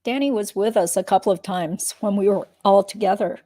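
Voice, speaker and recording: A woman playing a female witness answers under questioning in a deposition. Her voice sits at a medium pitch in a very dry recording. She is guarded and careful, committing to little. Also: a little fast, mellow, no room sound, lightly acted. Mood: hesitant, recalling. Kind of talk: dramatic reading of a deposition transcript.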